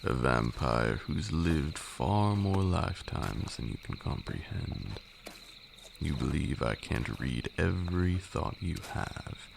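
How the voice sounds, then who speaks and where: Male performer as condescending vampire lord, heavy gravelly creak, swooping intonation, archaic formal phrasing, stern teasing mentor, ASMR roleplay. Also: exaggerated voice. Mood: teasing, probing. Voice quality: very deep, gravelly. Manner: condescending, formal archaic speech, drawn-out delivery. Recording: steady noise with night insects. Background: crickets and night insect ambience.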